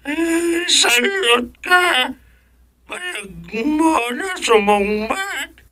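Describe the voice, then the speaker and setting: An actor playing a cranky, wailing old village elder in an Ilocano radio comedy, scolding in an Ilocano accent. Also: male voice, very high-pitched, lively intonation, very slow, sharp tone, heavily acted. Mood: indignant.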